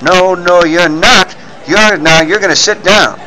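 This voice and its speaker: Old radio drama editor, voiced by a man: bossy, skeptical, lively high voice over crowd noise. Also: unhurried, poor audio. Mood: stern, exasperated. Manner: exclamatory, scolding, authoritative. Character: gruff, bossy, skeptical male newspaper editor. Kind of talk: 1940s radio acting. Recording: clear stadium crowd noise behind.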